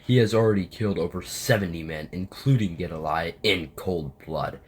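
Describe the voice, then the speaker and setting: A man's voice: low voice, normal speed, husky. A man acting a stern, resolute military captain burdened by guilt, with a commanding manner, in an amateur audio drama. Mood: urgent.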